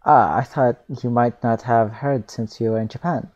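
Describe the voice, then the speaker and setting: A man's voice: medium pitch, steady tone, moderate pace, a little mellow, lo-fi sound, natural voice. A man voicing a friendly, curious taxi driver while reading a visual novel aloud.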